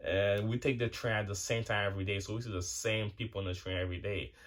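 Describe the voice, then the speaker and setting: A man's voice: lowish voice, steady tone, quick pace, fairly bright tone. A man in his twenties talking casually to his viewers, rambling and cursing freely with lots of restarts and fillers. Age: 20s. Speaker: vlogger. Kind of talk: casual rambling monologue to camera.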